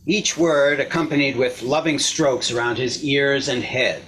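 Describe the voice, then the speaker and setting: A man reading a story on stage with an expressive, drawn-out delivery, picked up by a distant microphone. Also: medium pitch, slight background noise. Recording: distant mic, stage show video.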